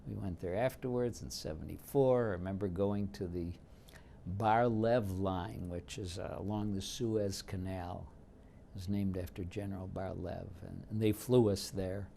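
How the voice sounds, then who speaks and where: Older man in his seventies, Yiddish first language, gravelly low voice, unhurried interview storyteller, light background hiss. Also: mellow. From Detroit, United States. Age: 70s. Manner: reminiscing, unhurried, with frequent fillers. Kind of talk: oral history interview.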